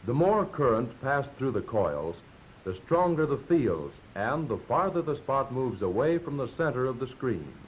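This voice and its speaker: Animated 1940s American training film narrator, male. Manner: measured, didactic, clearly explanatory. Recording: dull, noisy 1940s film soundtrack with rumble.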